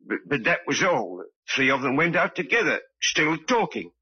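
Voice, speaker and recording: Muffled old radio sound frames a timid elderly doorkeeper. He is husky and rather high, humble and hesitant, eager to avoid trouble. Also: big pitch swings, normal speed, sharp tone, heavily acted. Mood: earnest. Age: older. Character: timid, deferential old male theatre doorkeeper. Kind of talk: old-time radio drama acting. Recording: dull vintage radio recording.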